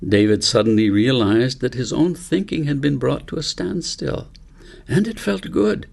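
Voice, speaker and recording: A man narrating a story for an audiobook in measured, deliberate short phrases. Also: mid-range voice, vocal fry, darkish tone. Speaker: author reading own book aloud.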